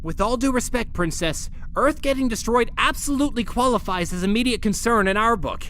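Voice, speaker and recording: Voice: mid-range voice, brisk, fairly bright tone, natural voice. An actor voicing a brainy teenage mutant turtle who speaks in an eager, insistent way. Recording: faint background noise.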